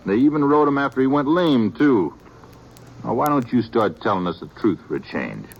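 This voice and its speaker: An actor playing a blunt, suspicious frontier sheriff in an old radio drama. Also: male voice, mid-range voice, sing-song, moderate pace, gravelly. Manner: blunt and skeptical. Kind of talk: 1950s radio drama acting. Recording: dull old radio recording with faint hiss.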